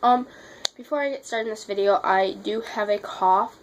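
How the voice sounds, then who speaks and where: A woman's voice: medium pitch, moderate pace, slight background noise, poor audio. A teenage girl vlogging, sick and losing her voice with a runny nose, telling a story in a blunt, exasperated way and swearing freely. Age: teens. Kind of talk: casual storytelling vlog.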